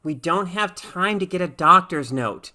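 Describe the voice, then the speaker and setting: A woman voicing a harried, worried mother with a brisk, insistent manner in a story reading. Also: fairly low pitch, expressive, sharp tone, exaggerated voice. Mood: alarmed.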